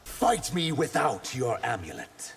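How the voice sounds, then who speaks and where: A man's voice: mid-range voice, wildly swooping pitch, unhurried, vocal fry, long reverb tail, slight background noise, heavily acted. A man voicing an earnest, guarded reptilian shapeshifter warrior in a video game. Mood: earnest defiance. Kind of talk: video game character dialogue.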